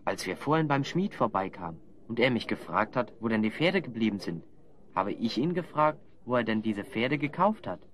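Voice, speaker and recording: Shrewd adventurer lead, audio drama: lively intonation, confident, knowing. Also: male voice, on the low side, normal speed. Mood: knowing, sly. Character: shrewd, self-assured adventurer hero, explaining clues. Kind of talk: German children's audio drama acting.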